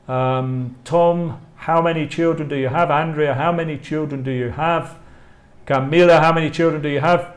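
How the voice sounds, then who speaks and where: A man with an English accent teaching a language lesson, enunciating carefully and repeating phrases. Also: fairly high pitch. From England. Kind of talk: live online language lesson, reading model questions and answers.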